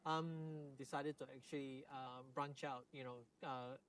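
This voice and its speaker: A middle-aged man from Singapore speaks with a Singaporean accent at an average male pitch. He talks casually as a podcast guest, often leaning on words like actually and basically. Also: steady tone, a little slow, fairly bright tone, rough recording. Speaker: podcast guest, real estate agent. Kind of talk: casual interview talk.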